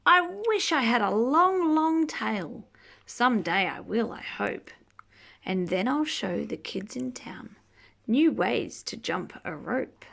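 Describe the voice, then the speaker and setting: Female storybook reader, playful and very lively in pitch, slow-paced with some creak, stretching words for young listeners. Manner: playful, drawn-out emphasis. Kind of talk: animated children's read-aloud with asides.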